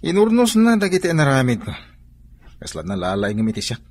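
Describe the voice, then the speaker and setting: A man's voice: medium pitch, wildly swooping pitch, brisk, darkish tone. A man playing a troubled, weary grown son with an Ilocano Filipino accent in a radio drama, sighing and downcast.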